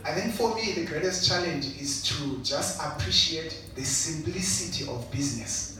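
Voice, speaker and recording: A man's voice: fairly high pitch, animated, moderate pace, sharp tone. A man from Zimbabwe speaking on an entrepreneurship panel, earnest and reflective, often adding "you know". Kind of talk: panel discussion answer. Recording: echoey hall from far off, noisy.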